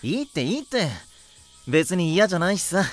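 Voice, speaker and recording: A woman's voice: on the low side, sing-song, brisk. A woman voicing an easygoing, casual teenage boy in an anime audio drama, laid-back and mildly exasperated. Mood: resigned. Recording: faint music behind the voice.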